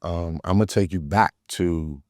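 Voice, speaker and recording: A man's voice: low voice, measured pace, a little mellow, no room sound, studio quality. A man hosting an interview podcast, talking loose and streetwise, swearing freely. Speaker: podcast host. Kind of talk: casual interview chat.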